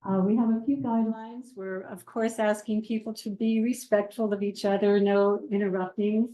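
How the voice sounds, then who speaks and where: A woman moderating a community panel, welcoming a live audience in a gentle, measured and slightly hesitant way. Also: medium pitch, little pitch movement. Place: community meeting room with a seated circle. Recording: roomy room sound.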